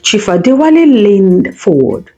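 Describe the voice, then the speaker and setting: A woman's voice: mid-range voice, sing-song, a little slow, mellow, muffled recording. A woman narrating a scripted folktale as a storyteller, with music playing behind her. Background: background music.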